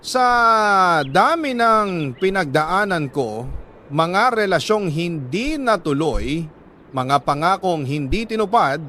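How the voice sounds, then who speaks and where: Male Filipino storyteller, lightly high-pitched, emotive and measured letter narration, some background noise. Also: very animated, fairly bright tone. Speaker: radio storyteller reading listener letters. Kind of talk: dramatic first-person letter narration.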